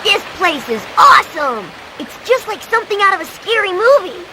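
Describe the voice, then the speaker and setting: A woman voicing a brash, excitable little boy hero in a cartoon video game, squeaky and childlike. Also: moderate pace, noisy background. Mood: thrilled.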